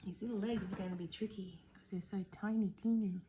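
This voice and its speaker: A woman with a croaky voice hosting a casual painting tutorial, chatting and thinking aloud as she works. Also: mid-range voice, steady tone, brisk, dark tone, a little hiss or hum. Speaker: art tutorial host.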